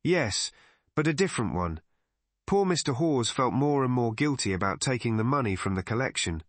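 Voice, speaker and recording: A shrewd, elderly lady sleuth of a cozy mystery audiobook, played by a male narrator. The delivery is calm, measured and methodical, laying out each deduction step by step. The sound is close and dry. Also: medium pitch, no echo, natural voice. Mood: calm explaining. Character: shrewd, calm elderly woman amateur sleuth explaining a murder.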